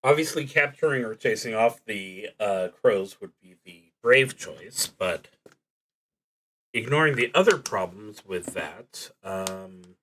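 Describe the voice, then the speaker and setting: A man with a fairly low voice talks very slowly as he plays a solo roleplaying game on a livestream. He alternates reading out prompts with working through his choices, hesitating and letting phrases trail off. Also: animated, fairly bright tone, low-quality recording. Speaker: solo tabletop roleplaying streamer.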